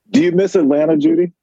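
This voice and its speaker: A man's voice: on the high side, expressive, moderate pace, vocal fry, dark tone, husky, no echo, dull audio. An American man hosting and interviewing in a casual, conversational style. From Portland, Oregon, US. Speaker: podcast host and interviewer.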